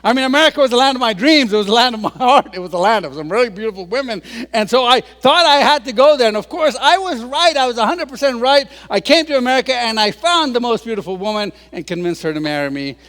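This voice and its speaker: A man in his sixties tells stories to a crowd with a joking, self-deprecating touch. His voice sits high for a man, and it rings out with the long reverb of a large hall. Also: lively intonation, fast, few pauses, fairly bright tone. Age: 60s. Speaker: guest speaker. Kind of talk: humorous public talk.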